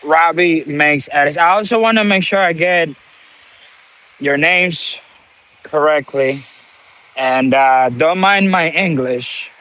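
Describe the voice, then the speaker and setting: Slow, preachy man. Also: on the high side, pushed and bright, low-quality recording. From Dominican Republic. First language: Spanish. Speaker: livestream host. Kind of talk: motivational live-stream talk.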